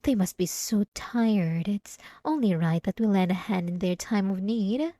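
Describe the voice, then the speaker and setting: A woman performs a devout young church girl who is sweet on the surface and possessive underneath. She speaks softly and haltingly at a middling pitch, with unsettling politeness. The sound is dry and intimate, in the manner of an ASMR roleplay. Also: no room sound, lightly acted. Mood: sweet, solicitous. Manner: soft-spoken, halting, sugary politeness with an unsettling edge. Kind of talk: scripted ASMR roleplay monologue addressed to the listener.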